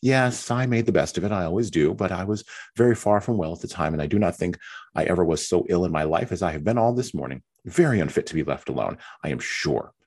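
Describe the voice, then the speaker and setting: A man's voice: sits low, lively intonation, fast talker, darkish tone, breathy edge, exaggerated voice. A man reading a novel aloud, voicing a fretful, self-pitying young married woman who whines and complains. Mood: complaining. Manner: whiny, complaining.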